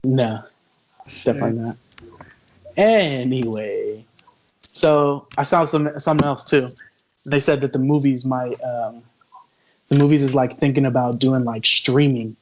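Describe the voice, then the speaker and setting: A young adult man talking in a laid-back, smooth way as a podcast co-host on a video call. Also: mid-range voice, a little slow, pauses often. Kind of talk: casual chat. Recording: dull lo-fi call audio with crowd noise.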